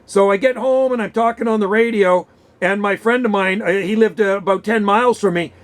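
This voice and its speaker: A man's voice: sits high, fairly quick. A Canadian man of about sixty, chatty and enthusiastic, telling stories in casual radio conversation. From western Canada. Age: around 60. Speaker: CB radio hobbyist. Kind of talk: casual radio chat, reminiscing. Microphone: vintage desk radio microphone.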